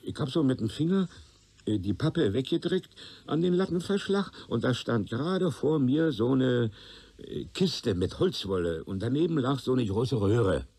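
An actor playing an evasive, flustered tenant under questioning in a radio crime drama, hesitant and colloquial. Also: male voice, mid-range voice, very animated, normal speed, mellow, slightly rough. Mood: chatty. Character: nervous, evasive male witness, a nosy tenant turning flustered.

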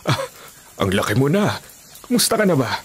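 A man's voice: mid-range voice, sing-song, normal speed, breathy edge. An actor playing an older village man in a Filipino radio drama, earnestly telling tales with hesitant, emphatic phrasing. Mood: earnest, insistent. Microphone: studio condenser mic with pop filter.

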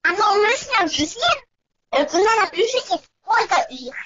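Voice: very high-pitched, sing-song, moderate pace. A teacher character and narrator in a homemade cartoon, giving out homework instructions. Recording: dull lo-fi recording with noise.

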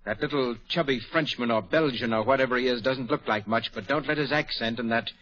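An actor playing a curt, scheming manservant in an old radio drama. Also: male voice, mid-range voice, brisk, fairly bright tone, natural voice. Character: scheming manservant, young adult man. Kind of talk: 1940s radio acting. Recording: dull lo-fi old radio broadcast.

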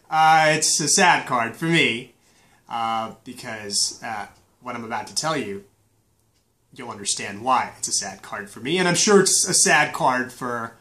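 A man's voice: a little high, bright, forceful tone. Stuffy-voiced man rambling through a fight review. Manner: rambling, many fillers and restarts. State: stuffy, recovering from flu. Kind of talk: casual fight review vlog. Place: small indoor room.

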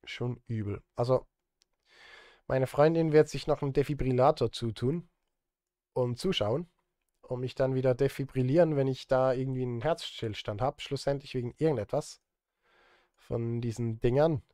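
A man's voice: mid-range voice, moderate pace, mellow, no room sound, studio quality. A man in his thirties chatting casually on a live stream while he works, rambling and muttering to himself in a self-deprecating way. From Switzerland. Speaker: live streamer and film composer. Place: indoor desk at a streaming setup.

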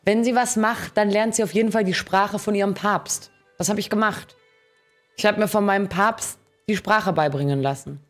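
A young woman chats through her gameplay in a casual, slangy way. Her voice sits at a medium pitch, with game music playing behind her. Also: normal speed. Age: young adult. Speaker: gaming streamer. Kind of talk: casual gameplay commentary and chat. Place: indoor streaming setup.

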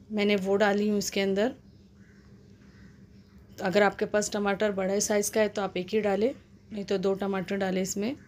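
A woman with an Indian accent giving a casual, step-by-step recipe voice-over in a plain, instructional, repetitive way. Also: mid-range voice. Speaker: home cook and vlog host.

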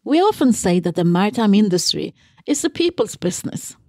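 A woman's voice: mid-range voice, very animated, fairly quick, a little mellow, dry recording, studio quality. A woman hosting a podcast, speaking in a conversational interviewing style. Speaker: podcast host.

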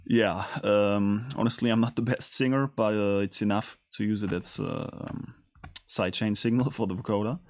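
A man hosting a casual music production tutorial in a studio, talking in a hesitant, halting way. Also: fairly low pitch, steady tone, a little mellow. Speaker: tutorial host.

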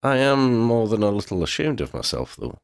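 A man's voice: lowish voice, big pitch swings, a little fast, clean tone, no room sound, clean studio sound, pitched above the performer's own voice. A man voicing a proud, lordly talking male cat in a storybook, with pompous, formal wording. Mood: ashamed. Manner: pompous, formal wording with Scottish turns of phrase.

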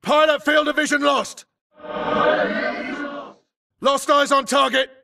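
High-strung, gravelly combat soldier. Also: male voice, little pitch movement, takes it slowly, far from the mic, loud music behind the voice, exaggerated voice. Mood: alert, searching. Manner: clipped, urgent, forceful delivery. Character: tough male infantry grunt soldier, urgent and aggressive. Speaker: video game voice actor. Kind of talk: military combat callouts, short shouted barks.

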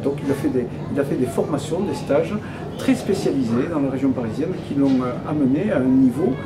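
A man in his fifties explaining in an interview, measured and hesitant, often pausing mid-phrase. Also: mid-range voice, animated. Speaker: restaurant owner interviewee. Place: indoor restaurant room. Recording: loud ambient noise behind.

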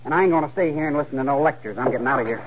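An American actor playing a sullen, defiant young cowhand with a curt rustic drawl in a radio Western. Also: male voice, mid-range voice, very fast, natural voice. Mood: curt, angry. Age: young adult. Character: sullen, defiant young cowhand chafing under his father. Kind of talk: 1950s radio Western acting. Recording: muffled, faintly noisy old radio recording.

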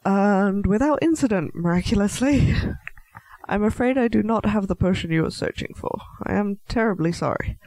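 A man's voice: sits high, animated, moderate pace, darkish tone, rough recording. A man playing a clumsy, nervous half-elf alchemist shopkeeper in a fantasy audio roleplay, stammering, apologetic and politely chatty. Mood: anxious, bargaining. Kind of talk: scripted fantasy audio roleplay addressed to the listener.

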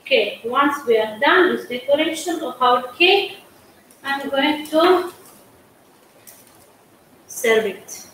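Georgian lady chef doing a cake demo, high voice, chatty broken english, big echoey kitchen. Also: expressive, slow, pauses often, a bit bright. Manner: chatty, coaxing, broken English, keeps saying guys. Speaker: pastry chef. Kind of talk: live cooking demonstration. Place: large studio kitchen, indoors. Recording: echoey studio kitchen, faint hum.

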